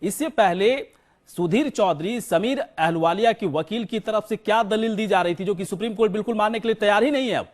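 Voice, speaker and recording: A male television news anchor with a very high-pitched voice delivers live breaking news. He speaks emphatically, repeating key words and names as he presses for updates. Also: a little fast.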